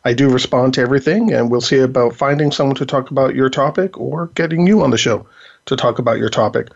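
A man's voice: mid-range voice, gravelly. A man hosts an internet talk show in a casual, rambling way with plenty of fillers. His voice is slightly worn, and the recording sounds dull and lo-fi. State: losing his voice. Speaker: podcast host. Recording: dull lo-fi audio.

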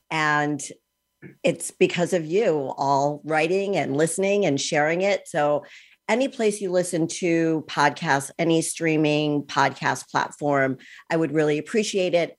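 A woman in her sixties with a low voice hosts a podcast interview. She talks in a chatty, eager way and fills pauses with an occasional um. Her low pitch sits well under most women's voices. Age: 60s. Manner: chatty, enthusiastic, uses ums. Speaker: podcast host.

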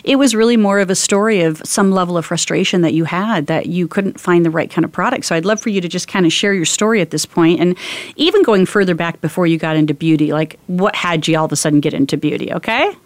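Female talk host, lowish pitch, close dry sound, informal and rambling conversational delivery. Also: quick pace, no echo. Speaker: radio show host. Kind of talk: casual, chatty interview.

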